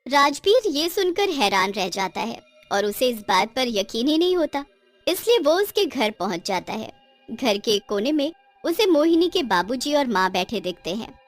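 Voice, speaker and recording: A woman's voice: a little high, big pitch swings, moderate pace, soft background music. A woman reading dramatic scripted narration for a cartoon horror story.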